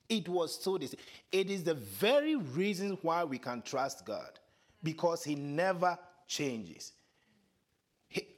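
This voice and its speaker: A man whose first language is Twi, preaching an earnest sermon that builds to emphatic high points. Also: fairly bright tone.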